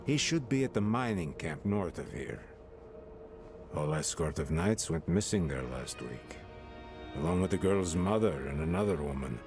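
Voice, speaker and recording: An actor playing an irritated, brusque guard in a video game cutscene, curt and gruff. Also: male voice, deep voice, expressive, normal speed. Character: gruff, irritated male guard at his post. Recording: game music behind voice.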